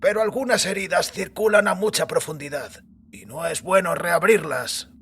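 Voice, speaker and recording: A man playing an aging, stubbornly faithful priest with a husky voice and courteous, formal speech. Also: sits high, moderate pace, fairly bright tone, heavily acted. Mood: wistful. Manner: formal, courteous, archaic address.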